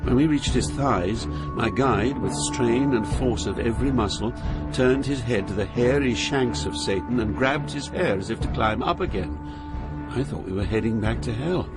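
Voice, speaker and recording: An actor playing a grave, awestruck pilgrim, narrating an epic journey through Hell in a solemn, measured way. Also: male voice, mid-range voice, close to an everyday voice, rough recording. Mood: tense recounting. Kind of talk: dramatic scripted narration of an epic poem. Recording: loud music under the voice.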